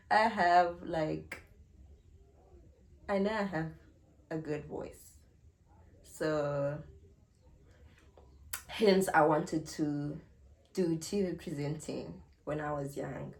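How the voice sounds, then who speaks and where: A young woman with a South African accent talks slowly and unhurriedly in a casual Q&A vlog. Her voice sits a little low, and she breaks into giggles between relaxed, rambling answers. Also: creaky. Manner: chatty and giggly, drawn-out pauses. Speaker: YouTuber.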